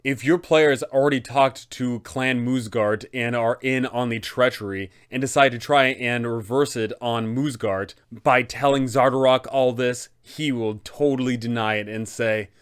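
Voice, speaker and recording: A man's voice: mid-range voice, steady tone, a little slow, hardly any pauses, clear, resonant tone. A man in his twenties presenting a roleplaying guide for game masters, explanatory and emphatic. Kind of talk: tabletop roleplaying guide narration. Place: small furnished room indoors.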